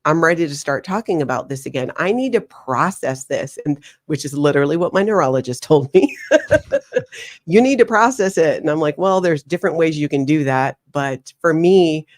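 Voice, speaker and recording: A woman in her forties from Hawaii, a guest telling her life story in an interview, animated and laughing often. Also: low voice, moderate pace. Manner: animated, laughs often, slips into acted quotes.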